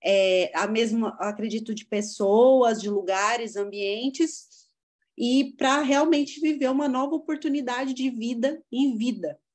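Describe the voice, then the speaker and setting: A woman's voice: on the high side, measured pace, darkish tone. A woman hosting a faith podcast, asking long questions and affirming her guest. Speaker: podcast host. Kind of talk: casual interview chat.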